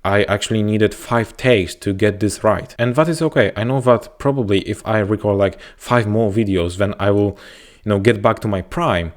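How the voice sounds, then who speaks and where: A man's voice: lowish voice, normal speed. A man from Poland with a Polish accent, speaking English as a second language while coaching viewers to camera in an earnest, repetitive, motivational way. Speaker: YouTube growth coach. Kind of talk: direct-to-camera advice talk. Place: indoors, talking to camera.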